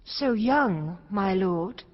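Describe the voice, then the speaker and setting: An actress playing an honest, dutiful young princess in a classical Shakespearean stage drama, speaking earnestly and plainly. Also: female voice, mid-range voice, animated, drawn-out words, lo-fi sound. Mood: earnest, firm.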